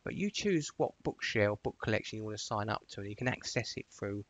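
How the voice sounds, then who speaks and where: A man narrating a step-by-step software tutorial in an informal, chatty instructional way. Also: fairly low pitch, animated, quick pace, no echo. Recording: dull, lo-fi, dry close recording.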